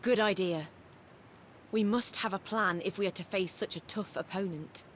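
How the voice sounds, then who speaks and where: A woman's voice: mid-range voice, moderate pace, a little hiss or hum, lightly acted. A woman playing a pragmatic, level-headed warrior companion in a fantasy video game. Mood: sober, practical.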